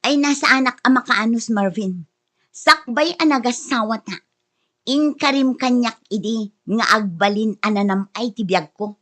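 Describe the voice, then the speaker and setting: A woman acting a high-voiced, nagging, demanding wife. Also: normal speed, a bit bright, natural voice. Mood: scornful, angry. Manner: whiny, scolding, insistent. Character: demanding, nagging young wife scolding her stingy husband. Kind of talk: Ilocano radio drama acting.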